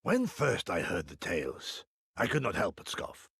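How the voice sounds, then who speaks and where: Gravelly, theatrical samurai. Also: male voice, deep voice, huge pitch swings, fairly bright tone, no echo, clean studio sound, full character voice. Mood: reflective, wry. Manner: formal archaic diction, dramatic pauses. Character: earnest, theatrical samurai warrior, proud and inquisitive. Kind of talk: dramatic video game voice acting.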